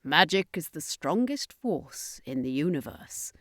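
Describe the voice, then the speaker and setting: A woman voicing a grave, wise circus master in an audiobook adventure, solemn and measured. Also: sits low, big pitch swings, no room sound, clean studio sound.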